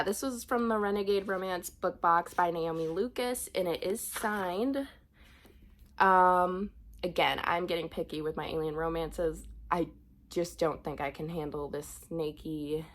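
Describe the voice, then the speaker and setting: A young woman talks in a relaxed, chatty way, full of ums and self-corrections. She has a cold and sounds a little sniffly. Her voice sits slightly low. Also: a little slow. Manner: chatty, frequent ums and restarts. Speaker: book vlogger. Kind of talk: casual book unhaul chat.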